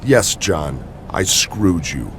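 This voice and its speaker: A man's voice: low voice, animated, slow, slight background noise. A man playing an arrogant, scheming wrestling champion who taunts and mocks theatrically in a video game cutscene. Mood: smug taunting. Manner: smug, mocking, theatrical.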